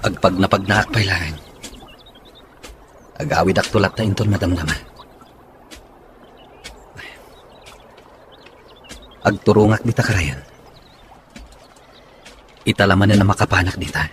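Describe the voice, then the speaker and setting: A man's voice: deep voice, normal speed, long pauses, background noise, close to an everyday voice. A man with a Filipino accent playing an earnest, lovelorn young suitor in a radio drama. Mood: pensive. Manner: earnest, pleading. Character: lovelorn young man pleading with his sweetheart. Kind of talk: Ilocano radio drama acting.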